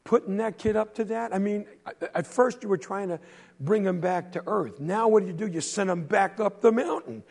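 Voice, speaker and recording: A man voicing a quiet, stern old man who scolds his friend, in a told folk tale. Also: sits high, moderate pace, vocal fry, pitched above the performer's own voice. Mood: scolding. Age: older.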